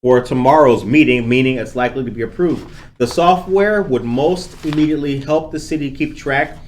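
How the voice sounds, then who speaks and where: A man hosting a local podcast, moving between reading news and casual interviewing in a halting, conversational, friendly way. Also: medium pitch, a little hiss or hum. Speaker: podcast host.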